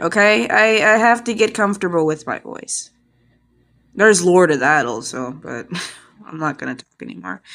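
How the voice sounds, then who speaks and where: A woman's voice: lowish voice, bright, forceful tone. A woman chatting casually to an iPad microphone in a rambling, self-deprecating way, like a hobbyist vlogger. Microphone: iPad built-in microphone.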